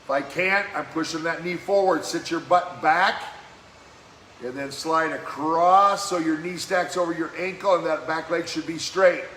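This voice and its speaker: Male fitness coach, slightly hoarse voice, bright and forceful tone, encouraging workout cues. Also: on the high side, fairly even intonation. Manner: encouraging, brisk exercise cues. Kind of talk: live-streamed workout cueing. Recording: echoey room with faint background noise.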